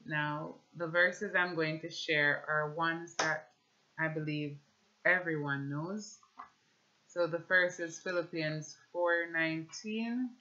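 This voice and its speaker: A woman in her thirties with a Jamaican accent gives an earnest, measured religious testimony at home, talking to camera. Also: low voice, fairly even intonation, sharp tone, dull audio. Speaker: speaker giving a personal religious testimony. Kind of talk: personal testimony with scripture reading, talking to camera. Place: small indoor room at home with shelves.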